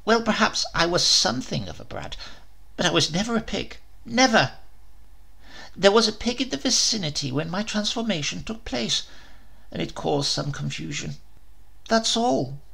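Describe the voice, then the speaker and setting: A man's voice: high-pitched, lively intonation, normal speed, creaky, pushed and bright, slightly rough, exaggerated voice. A man voicing a pompous male dove, a transformed prince, who speaks in a prim, easily offended way. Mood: haughty. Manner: fussy, haughty and easily offended.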